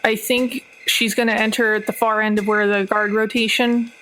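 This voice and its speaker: A woman's voice: medium pitch, level tone, moderate pace, a bit bright, slight background noise, low-quality recording. A woman playing a tabletop roleplaying game, chatting casually as she describes what her character does. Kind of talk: casual actual play chat.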